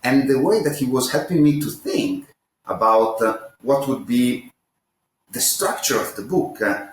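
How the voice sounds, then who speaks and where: A man's voice: medium pitch, animated, measured pace, reverberant. A man with an Italian accent, an author answering interview questions hesitantly, with many fillers and restarts. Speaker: author, guest.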